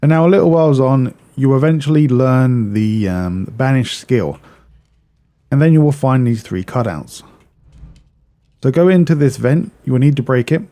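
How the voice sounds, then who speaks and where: Mellow-voiced man guiding a game walkthrough. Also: mid-range voice, normal speed. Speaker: video game guide narrator. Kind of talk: walkthrough commentary, casual and instructional.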